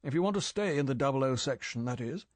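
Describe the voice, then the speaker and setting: A man's voice: mid-range voice, lively intonation, quick pace, no echo, lightly acted. A man reading an audiobook, voicing M, a stern older male spy chief who lectures in a clipped, scolding way. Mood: frosty final.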